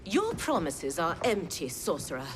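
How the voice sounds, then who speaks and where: Suspicious female guard, low register, very animated intonation, formal challenge, fantasy game drama with a big score. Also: normal speed. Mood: distrustful. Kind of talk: dramatic video game acting. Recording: loud music behind the voice.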